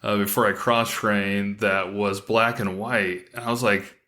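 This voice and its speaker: A man with a fairly low, bright, forward voice hosts a relaxed podcast chat. He tells stories in an animated, conversational way, slipping into quoted exchanges as he goes. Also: a little slow. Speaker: podcast host, former military air traffic controller. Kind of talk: casual interview chat with anecdotes.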